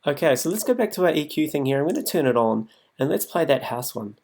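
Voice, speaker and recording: A man's voice: fairly high pitch, big pitch swings, quick pace, vocal fry. A man presenting a music production tutorial in a casual, improvised way, thinking aloud as he demonstrates on his computer. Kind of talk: casual, improvised software walkthrough. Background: music loops from the demonstrated software.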